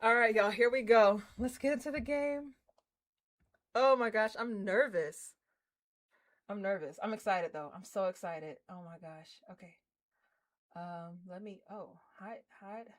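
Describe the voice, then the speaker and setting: A woman live-streaming a game, reading screen text and chatting to viewers in an excitable, slangy way. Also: mid-range voice, a little slow. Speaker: streamer, host. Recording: music behind the voice. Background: game music.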